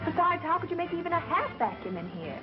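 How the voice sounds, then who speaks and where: An actress playing a quick-witted, wry woman under suspicion in a 1930s mystery film. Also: very high voice, very animated. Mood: sarcastic. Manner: wry, sarcastic retorts. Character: quick-witted, sarcastic woman suspected of murder. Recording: muffled 1930s film soundtrack with music.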